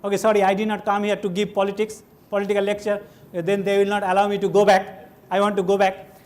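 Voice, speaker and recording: A man's voice: high-pitched, completely flat delivery, fast talker. A middle-aged man from West Bengal with a Bengali-tinged Indian English accent, giving a conversational lecture on a hall stage and asking the audience questions as he goes. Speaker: guest lecturer. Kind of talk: classroom lecture.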